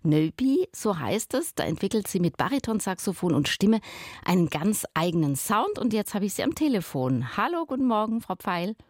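A woman radio presenter announcing music and interviewing a guest, asking friendly, lively questions from a studio. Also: mid-range voice, moderate pace, no room sound. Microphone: studio microphone.

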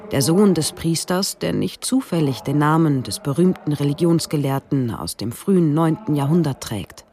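A woman's voice: sits low, darkish tone. A woman reading scripted narration for a radio documentary.

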